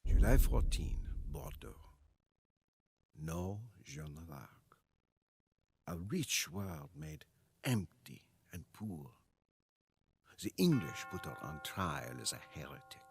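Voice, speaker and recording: An actor playing a weary, devoted French soldier, narrating a war journal for a game in a solemn, dramatic, gravelly voice. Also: male voice, sits low, wildly swooping pitch, a little hiss or hum, full character voice. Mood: mournful, defiant. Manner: solemn, dramatic, measured delivery. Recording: loud music under the voice.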